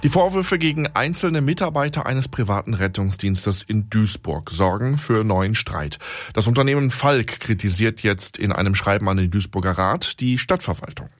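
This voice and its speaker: A man presenting regional radio news with measured newsreader delivery. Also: fairly low pitch, expressive, no room sound, studio quality. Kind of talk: scripted news reading.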